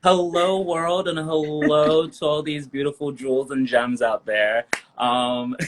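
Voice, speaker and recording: A man's voice: a little high, fairly even intonation, slow, noisy background. A man in his thirties from Liberia, a guest chatting in an interview, upbeat and giggly with many ums and likes. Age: 30s. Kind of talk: casual interview chat.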